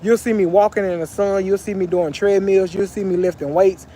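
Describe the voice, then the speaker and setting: A man's voice: sits high, steady tone, brisk, dark tone, slight background noise. A young man from Texas chatting casually on a phone livestream by a pool, reading out comments in a loose, slangy way. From Texas, USA. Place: outdoors by a pool.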